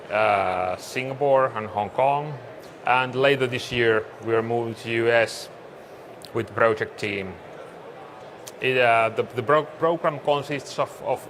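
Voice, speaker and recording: A man's voice: medium pitch, fairly bright tone. A man from Finland with a Finnish accent gives a business presentation as a conference speaker. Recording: clearly noisy live room recording.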